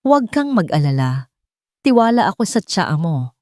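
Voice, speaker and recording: A woman voicing a frail, gentle old matriarch, weary and reassuring, in an audio love drama. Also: on the low side, big pitch swings, normal speed, no room sound, studio quality. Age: elderly.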